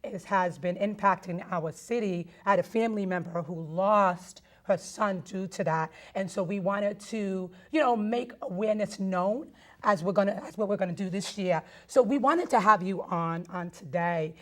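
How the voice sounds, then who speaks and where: A woman's voice: medium pitch, normal speed. A woman hosting a public-access TV talk show, enthusiastic and a little repetitive as she introduces her guest. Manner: enthusiastic, repeats herself, short affirmations.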